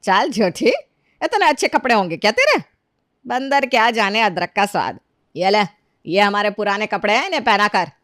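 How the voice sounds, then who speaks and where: A woman's voice: mid-range voice, animated, normal speed, a bit bright, dry recording, clean studio sound, lightly acted. A woman voicing a harsh, scornful middle-aged mother-in-law who scolds and orders her maid about in a cartoon story. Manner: scolding, commanding, sarcastic. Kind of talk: Hindi cartoon story character dialogue.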